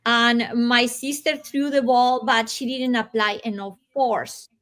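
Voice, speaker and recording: A woman from Colombia, slowly and reflectively telling her own story as an interview guest. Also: a little high, steady tone. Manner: slow, reflective, with soft laughs and pauses.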